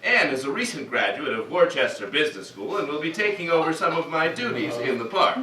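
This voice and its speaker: A man's voice: on the high side, sing-song, a little fast, sharp tone. A man playing a bombastic, genial old theme park founder in a staged cartoon reading, with a grandiose welcoming delivery. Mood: breezy, genial. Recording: distant roomy stage recording, some hiss.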